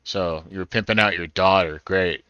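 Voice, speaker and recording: A man's voice: sits low, steady tone, moderate pace, pushed and bright, smooth, dry recording, dull audio. A man giving casual first-time commentary on a video game, thinking aloud as he plays. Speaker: gaming streamer. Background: game music.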